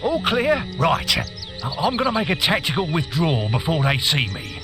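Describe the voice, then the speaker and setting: A man's voice: on the high side, big pitch swings, moderate pace, over music. A man playing a timid young rookie guard in a fantasy video game, hesitant and flustered. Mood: nervous, flustered.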